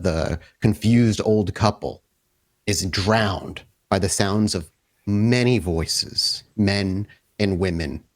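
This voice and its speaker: A man narrating a tabletop horror game as storyteller, with descriptive, deliberate phrasing. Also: on the low side.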